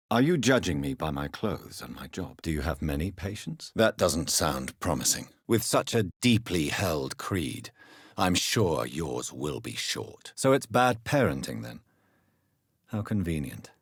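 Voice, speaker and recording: Husky, low-voiced gentleman doctor. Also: very animated, dry recording, studio quality, heavily acted. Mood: probing, grave. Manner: formal, measured, intense diction. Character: earnest, stern gentleman doctor of early twentieth-century England, secretly vampire. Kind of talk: dramatic video game voice acting.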